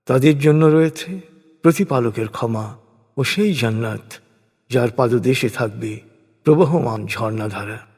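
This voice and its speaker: A man gives a solemn, scripted reading of translated scripture in measured, declamatory phrases. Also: medium pitch, a little mellow, breathy edge. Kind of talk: solemn scripted religious recitation of translated verses. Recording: long hall-like reverb.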